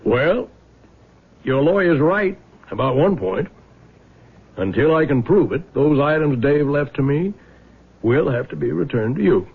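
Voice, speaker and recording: An actor playing a dry, stubborn, honest older man in a 1970s radio drama, speaking in a measured, sardonic way. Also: fairly high pitch, lively intonation, creaky, husky, phone-quality sound, full character voice. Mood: wry, resigned. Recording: thin, band-limited vintage radio recording.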